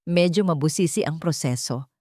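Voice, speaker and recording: A woman's voice: low voice, normal speed, mellow, no room sound, clean studio sound. A woman reading scripted narration for a dramatic audio story.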